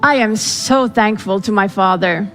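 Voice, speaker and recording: A woman's voice: mid-range voice, unhurried, a bit bright, big-room sound, faint music behind. A woman whose first language is Farsi gives a dramatic storytelling speech, acting out the voices she quotes. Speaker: speaker. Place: event hall.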